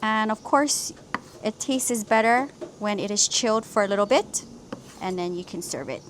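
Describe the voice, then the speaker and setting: A woman's voice: medium pitch, normal speed, a little hiss or hum. A woman from Hawaii hosting a cooking vlog, explaining each step of a simple recipe in an upbeat way. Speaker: cooking channel host.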